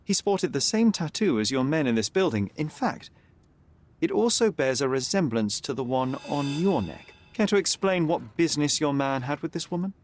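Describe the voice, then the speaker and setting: Man voicing a young detective, confident, precise, animated intonation. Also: on the high side, normal speed, faint music behind, lightly acted. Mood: probing. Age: young adult. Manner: calm, precise, deductive. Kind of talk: video game cutscene dialogue.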